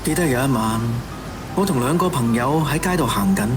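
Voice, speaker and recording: An actor with a Hong Kong Cantonese accent playing a fanatical, cynical killer who styles himself an executioner, in theatrical, taunting radio drama monologue. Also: male voice, medium pitch, lively intonation, a little fast, over music, natural voice. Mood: brooding, confessional.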